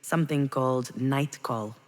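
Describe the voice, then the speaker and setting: A woman's voice: a bass voice, a little slow, darkish tone. An actress playing a melancholy, regretful woman in a video game, confiding hesitantly in an introspective monologue. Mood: reflective. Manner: hesitant, confessional, reflective. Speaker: video game protagonist narrating her memories.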